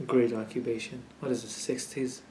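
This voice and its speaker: A man's voice: mid-range voice, level tone, normal speed, warm tone. A young Palestinian man answering interview questions in frank casual talk, with many fillers and an occasional curse. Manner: frank, swears when riled, many fillers. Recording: echoey room, faint ambient noise.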